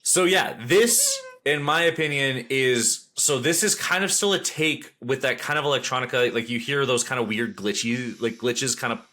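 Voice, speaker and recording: A man hosts a casual music podcast with a clearly bright voice at an ordinary male pitch. He rambles with enthusiasm as he works out his opinions aloud. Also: normal speed, few pauses. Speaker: podcast host reviewing music albums. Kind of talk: casual music review chat.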